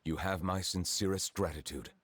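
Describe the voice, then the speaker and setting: A man's voice: deep voice, breathy edge, dry recording. An actor playing a grave, courteous man of authority in a video game, speaking formally. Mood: grave, urgent. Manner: formal, measured.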